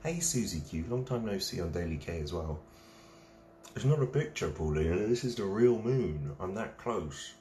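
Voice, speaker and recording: A man's voice: low voice, lively intonation, moderate pace, dark tone, reverberant, slight background noise. A man, hosting a casual late-night live stream, reading out viewers' comments and chatting while he eats snacks. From England.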